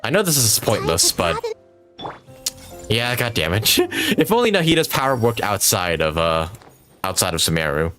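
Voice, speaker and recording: A man's voice: fairly low pitch, huge pitch swings, moderate pace, bright, forceful tone. A man giving casual livestream game commentary, rambling and enthusiastic, with casual swearing. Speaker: game streamer. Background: game music.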